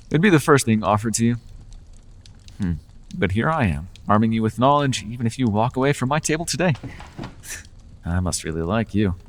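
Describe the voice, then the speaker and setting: A man's voice: on the low side, long reverb tail. A man voicing a charming, persuasive male Fae who makes deals, with playful, coaxing salesman patter in an ASMR roleplay.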